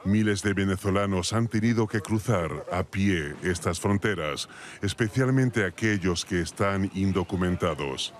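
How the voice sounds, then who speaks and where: Deep-voiced male news narrator. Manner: measured, formal. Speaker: reporter.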